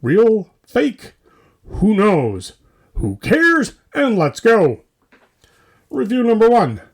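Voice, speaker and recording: A man with a slightly high voice hosts a comedy podcast. His delivery is scripted and theatrical, swinging widely in pitch through short, punchy, tongue-in-cheek phrases. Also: huge pitch swings, very slow, creaky, husky. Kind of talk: comedic scripted narration, mock news delivery.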